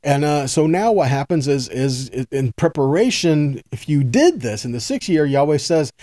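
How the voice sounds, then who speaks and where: Guy doing a folksy earnest bible teaching, warm relaxed voice, repeats himself to make the point, really dry recording. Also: a little high, moderate pace, no room sound. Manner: earnest, folksy, repeats phrases for emphasis, checks in with "right?" and "okay?". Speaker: Bible teacher, host of a weekly teaching video. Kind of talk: sermon, informal Bible teaching.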